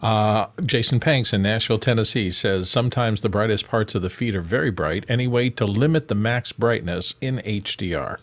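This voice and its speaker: A man's voice: lowish voice, moderate pace, few pauses, a bit bright. A man reading audience questions aloud for an online panel. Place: remote video call.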